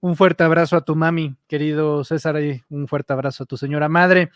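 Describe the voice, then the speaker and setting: A man's voice: a little high, steady tone, fairly quick, smooth, no echo, clean studio sound. A man hosting an interview, cordial and jokey with his guest. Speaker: interview host. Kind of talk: friendly interview wrap-up.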